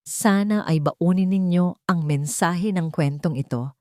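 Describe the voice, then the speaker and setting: A woman narrates a scripted romantic drama in a sentimental, measured storytelling manner. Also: on the low side, a little mellow, no echo, clean studio sound.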